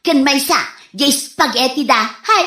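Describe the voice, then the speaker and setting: A woman acting a high-voiced gossipy Ilocano neighbor. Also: measured pace, bright, forceful tone. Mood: indignant griping. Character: fault-finding gossipy neighborhood woman, a mother. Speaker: radio drama actor. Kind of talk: Ilocano comedy radio drama. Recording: roomy echoey sound.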